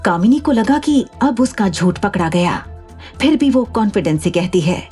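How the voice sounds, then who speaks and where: A woman's voice: mid-range voice, expressive, normal speed, dark tone, slightly rough, faint music behind. A woman narrating an audio drama in a scripted storytelling style.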